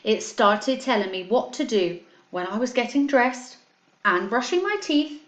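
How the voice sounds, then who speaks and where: Lady teacher doing story time for kids, expressive and simple wording, roomy echoey sound. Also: on the high side. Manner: expressive storytelling with slowed, emphasised words. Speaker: primary school teacher reading to pupils. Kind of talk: children's story read aloud, addressing pupils.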